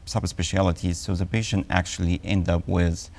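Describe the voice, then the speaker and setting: A man in his forties with an Egyptian accent, an oncologist speaking as a guest in a TV health interview, measured and full of ums and uhs. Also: low-pitched, flat delivery, a little mellow.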